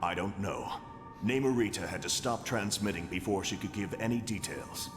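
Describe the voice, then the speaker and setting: An actor playing an upright superhero team captain in a video game, speaking firmly and earnestly. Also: male voice, fairly low pitch, moderate pace, long reverb tail, over music, natural voice. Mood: earnest, resolute. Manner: firm, earnest, commanding. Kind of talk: video game hero acting.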